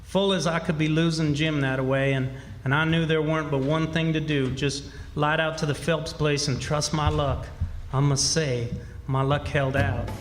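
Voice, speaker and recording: A man's voice: a little high, steady tone, normal speed, lightly acted. A man playing a country boy narrating his own adventures in a radio play, folksy and confiding. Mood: rueful, relieved. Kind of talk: first-person radio drama narration. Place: live stage in a hall. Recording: hall recording with music.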